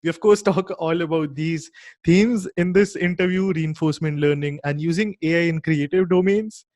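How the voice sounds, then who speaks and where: A man's voice: on the high side, moderate pace, darkish tone. A man hosting a podcast, reading an upbeat scripted intro and asking interview questions. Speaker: podcast host.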